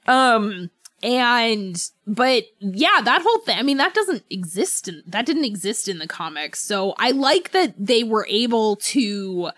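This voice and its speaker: Bright-voiced chatty woman podcaster. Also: on the high side, big pitch swings, unhurried, dry recording. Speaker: podcast co-host. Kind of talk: casual book club discussion.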